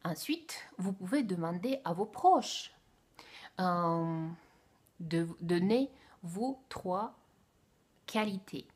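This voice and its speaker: A woman from Russia speaking French as a second language with a Russian accent, giving a casual book review to the camera with careful, hesitant phrasing and soft whispered asides. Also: on the low side, animated, slow, pauses often, slightly rough.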